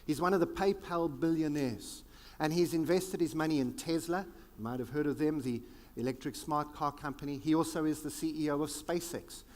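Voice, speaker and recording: South African male keynote speaker, emphatic and theatrical, slightly high voice, large reverberant hall. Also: a little mellow. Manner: dramatic pauses, sweeping emphatic delivery. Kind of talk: conference keynote talk.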